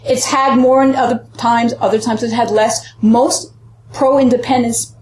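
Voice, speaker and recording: A woman's voice: a little high, fairly even intonation, measured pace, darkish tone. Earnest woman in an echoey room. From Puerto Rico. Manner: earnest, with frequent hesitations and restarts. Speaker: professor, interviewee. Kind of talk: earnest interview answers. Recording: echoey room, faint background noise.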